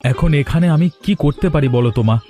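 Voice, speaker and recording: A man voicing a helpless, dutiful young husband, a landlord's son, in an animated moral story. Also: a little high, fast talker, faint music behind, close to an everyday voice. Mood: resigned.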